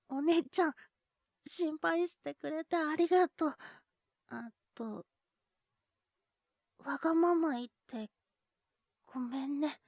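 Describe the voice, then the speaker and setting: A woman's voice: high-pitched, slow pace, pauses often, darkish tone, dry recording, clean studio sound. A woman voicing a cheeky little boy with a cold in an audio drama, coughing and pleading childishly as he clings to his big brother. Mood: meek, grateful. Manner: pleading, sulky childish talk with frequent coughing. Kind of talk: Japanese situation voice drama.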